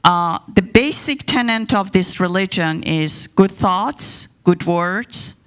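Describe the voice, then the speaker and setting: A woman's voice: fairly low pitch, animated, slow pace, smooth, in a large hall. Joking woman with an Iranian accent. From Iran. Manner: joking, self-deprecating. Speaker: guest speaker. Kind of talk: talk on religion to a congregation. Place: church service.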